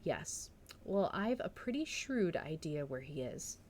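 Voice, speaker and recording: A woman's voice: deep voice, sing-song, measured pace, a little mellow, slight background noise. A woman voicing an opinionated, gossipy village busybody in a storybook read-aloud, stern, solemn and reproachful. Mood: smug.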